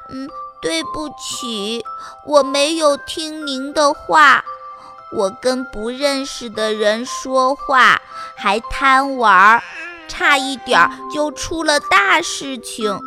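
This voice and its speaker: A woman's voice: high-pitched, big-room sound. A woman voicing an innocent, curious little girl in a children's fairy tale, childlike and polite. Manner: childlike, repeats her calls, wide-eyed questioning.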